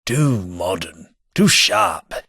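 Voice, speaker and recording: An actor voicing a foppish, snobbish aristocratic companion in a game, with a languid, sardonic upper-class drawl. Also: male voice, sits low, very animated, very slow, sharp tone, husky, no room sound, clean studio sound, heavily acted. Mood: drily snobbish. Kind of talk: game voice acting.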